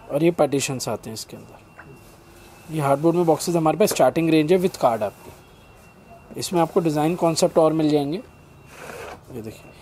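A middle-aged male salesman gives a brisk, polite product pitch and calls his customer sir. His voice is slightly high, with a soft, mellow tone. Faint noise hangs in the small indoor shop around him.

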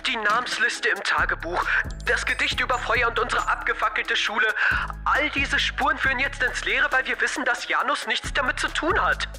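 A man's voice: very high-pitched, normal speed, sharp tone, full character voice. A male actor playing a sardonic, insistent teenage schoolboy in an audio drama, pushy and sarcastic. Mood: heated, accusing. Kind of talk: scripted audio drama dialogue. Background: background music.

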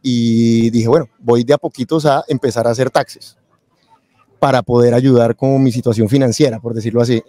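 A man speaks as a guest in a casual interview. His voice is mellow and relaxed, at a middling pitch. The sound is dry and close to the microphone. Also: normal speed, dry recording. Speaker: interview guest, tax lawyer and accountant. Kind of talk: casual interview, telling own story. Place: convention event booth, indoors. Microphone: close boom-arm microphone with headset.

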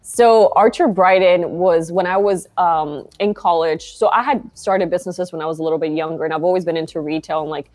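A woman's voice: lowish voice, a little fast, few pauses, a little mellow. A young woman from Argentina, a founder guest on a video call, talking casually with many fillers. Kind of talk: casual interview chat. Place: bright shop interior, video call.

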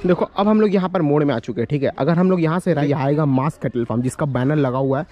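A man's voice: fairly high pitch, fast talker, dull audio. A young man from India, vlogging casually on a handheld camera, explaining things to viewers in a repetitive, instructive way. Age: young adult. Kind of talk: casual walking vlog, giving directions and farm tour. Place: village lanes outdoors and cattle shed. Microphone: handheld camera at arm's length. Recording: noisy handheld outdoor recording, ambient sound.

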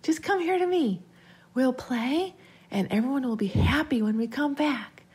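A woman voicing a sinister spirit that poses as a sweet little girl, drawn-out and coaxing. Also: sits high, animated, darkish tone, slightly rough, voice pushed up, full character voice. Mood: pleading, coaxing.

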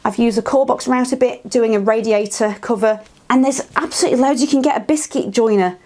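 A woman's voice: a little high, brisk, darkish tone. Chatty British woman in a workshop. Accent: British English. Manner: chatty, enthusiastic, frank asides. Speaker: woodworking vlogger. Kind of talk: casual tool walkthrough to camera. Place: small wooden workshop shed, indoors.

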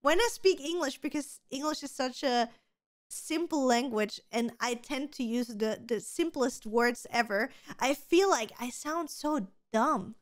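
A woman's voice: high voice, measured pace. A young woman, a native German speaker with a German accent, co-hosting a casual podcast chat and talking animatedly with lots of "like" fillers. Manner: chatty, animated, frequent "like" fillers. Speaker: podcast co-host. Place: gaming room with colorful LED lights.

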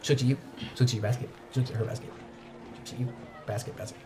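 A man's voice: medium pitch, fairly even intonation, rapid-fire, background noise. A man in his twenties improvising narration as the game master of a tabletop roleplaying game. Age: 20s. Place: indoor studio set, seated at a desk.

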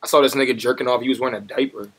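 A young man with a husky voice talking casually in street slang and giving motivational advice over a video call. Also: mid-range voice, steady tone, racing, a bit bright. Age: young adult. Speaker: stranger on a video chat, motivational poster.

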